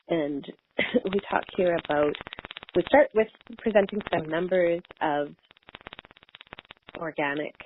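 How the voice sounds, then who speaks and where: A woman speaks over a narrow phone connection with faint noise on the line. She presents report findings informally, like a consultant on a conference call. Her delivery is halting, with words often repeated and rephrased. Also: low voice, gravelly. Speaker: evaluation consultant presenting results. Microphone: telephone on a conference call.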